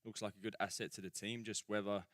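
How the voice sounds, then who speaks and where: A man's voice: lowish voice, level tone, fast talker, a bit bright, dry recording, studio quality. A young man with a mixed English and Australian accent, chatting casually as a football guest on a radio show. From England. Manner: laid-back, slangy, trailing fillers. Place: radio studio.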